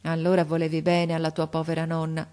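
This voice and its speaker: A woman's voice: monotone, a little fast, mellow, natural voice. A woman voicing a frail, paralysed old grandmother who begs her grandson in a tearful, imploring way, her voice lifted above her own. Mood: pleading. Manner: tearful, imploring, raised pitch.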